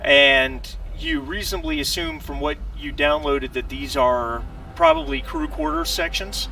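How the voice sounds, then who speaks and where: A man's voice: on the high side, wildly swooping pitch, a little slow, creaky, sharp tone, slight background noise. A man working as game master, narrating a tabletop role-playing game in a conversational, somewhat hesitant way.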